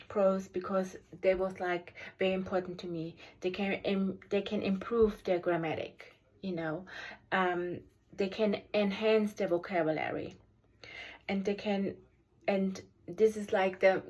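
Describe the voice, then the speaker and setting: A special education teacher with a German accent, German as her first language, giving an unscripted app review in a halting way with many ums and restarts. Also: medium pitch, a little mellow, poor audio.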